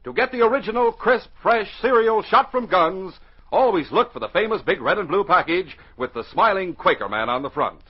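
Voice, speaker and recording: A man announcing and narrating in dramatic 1940s radio style, emphatic and carefully enunciated, with a husky voice. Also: high voice, lively intonation, moderate pace. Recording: dull 1940s radio recording.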